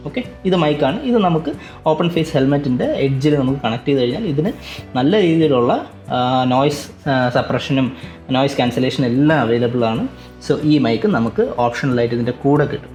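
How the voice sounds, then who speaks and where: A man's voice: mid-range voice, darkish tone. A man giving a casual, explanatory unboxing review, often repeating and rephrasing himself as he goes. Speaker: tech vlogger and product reviewer.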